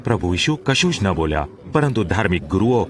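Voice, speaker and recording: A man's voice: sits low, big pitch swings, brisk. A man narrating a scripted, dramatic Bible story as the narrator of an audio drama. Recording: music behind the narration.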